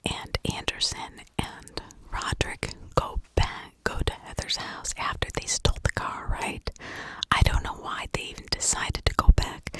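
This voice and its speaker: A woman whispering in a breathy, unvoiced ASMR style, telling a story informally with conversational asides. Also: low-quality recording. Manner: conversational, with rhetorical asides and check-ins. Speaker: ASMR true crime storyteller.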